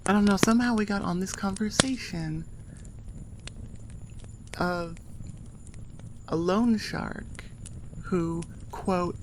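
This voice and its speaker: A woman's voice: medium pitch, slow pace, pauses often, background noise. A woman audio creator chatting casually to her listeners in an informal, self-deprecating, rambling way. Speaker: ASMR audio creator and host.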